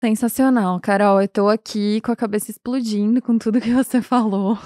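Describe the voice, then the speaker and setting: A woman's voice: medium pitch, fairly even intonation, normal speed, dark tone, no room sound, clean studio sound. A woman hosting a discussion, speaking thoughtfully and appreciatively. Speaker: host. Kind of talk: conversational discussion.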